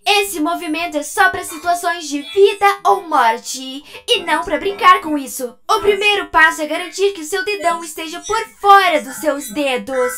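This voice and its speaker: A woman voicing a self-assured, sassy female wolf animatronic in a cartoon fan dub, confident and teasing. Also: very high-pitched.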